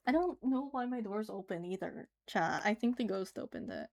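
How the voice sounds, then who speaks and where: A woman's voice: medium pitch, lively intonation, moderate pace, creaky, darkish tone. A woman livestreaming as she draws, chatting casually and giggling as she answers her chat. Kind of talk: casual chat while drawing on stream.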